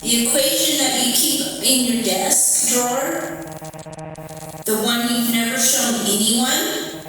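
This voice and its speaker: A woman's voice: a little high, slow pace, fairly bright tone, faint music behind. A woman voicing a shadowy phantom from the future in a sci-fi horror story, solemn, cryptic and urgent. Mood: knowing, ominous. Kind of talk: dramatic audio horror sci-fi acting. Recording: cavernous echo, long reverb, distant.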